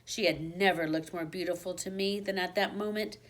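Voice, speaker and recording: A woman reading a children's book aloud like a teacher, with expressive storybook narration and dramatic emphasis. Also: on the low side, fairly quick. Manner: dramatic emphasis on exciting moments.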